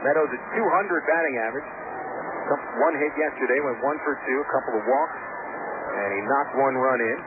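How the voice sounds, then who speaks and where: Chatty baseball radio announcer, man. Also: a little fast. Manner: easygoing, chatty between pitches. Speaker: play-by-play announcer. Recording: tinny radio broadcast with loud hiss.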